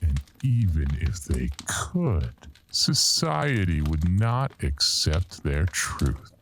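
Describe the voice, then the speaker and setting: An actor playing a sinister, all-knowing otherworldly storyteller in a horror radio drama, theatrical, deliberate and solemn. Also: male voice, low-pitched, big pitch swings, fairly bright tone, a little hiss or hum, heavily acted. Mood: grave, foreboding. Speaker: anthology narrator.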